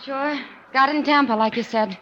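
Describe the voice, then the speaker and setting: A crook's wife, highish voice. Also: female voice, normal speed, a bit bright. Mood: uneasy. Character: crook's wife, female accomplice in a thriller. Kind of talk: old-time radio drama acting. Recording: dull, faintly noisy vintage radio recording.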